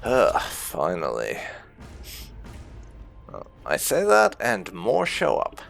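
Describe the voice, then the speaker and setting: A man's voice: mid-range voice, wildly swooping pitch, slow pace, creaky. A man giving casual gameplay commentary in a wry, understated manner. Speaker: gaming channel host. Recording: game music loud behind voice. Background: game music.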